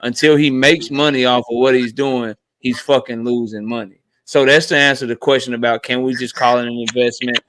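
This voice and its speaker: A man hosts a live boxing debate stream, making his case in a blunt, argumentative way and swearing freely. He speaks at a medium pitch. The recording is dry, with no room sound. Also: steady tone, brisk, few pauses, fairly bright tone, no room sound, poor audio.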